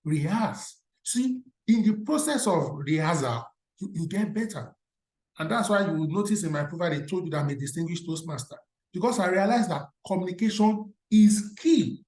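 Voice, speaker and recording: A Nigerian-accented speaker, a native Yoruba speaker, gives an emphatic motivational career talk. The voice sits in a mid register and rings with room echo. The speaker keeps pausing on rhetorical questions for the audience to answer. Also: medium pitch, lively intonation, a little mellow. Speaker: career speaker at an alumni event. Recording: roomy echo.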